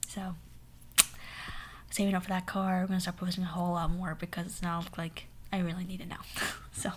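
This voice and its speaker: Hoarse young woman whispering sassily. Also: on the low side, completely flat delivery, a little fast. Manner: sassy, dramatic, whispering. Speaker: ASMR storyteller. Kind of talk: whispered ASMR story time.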